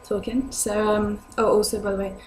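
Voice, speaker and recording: Hesitant young British woman, flat intonation. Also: medium pitch, moderate pace. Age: young adult. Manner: rambling, hesitant. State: ill with a sore throat, voice lower than usual. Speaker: vlogger answering viewer questions. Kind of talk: casual chat to camera. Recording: noisy home recording with hum.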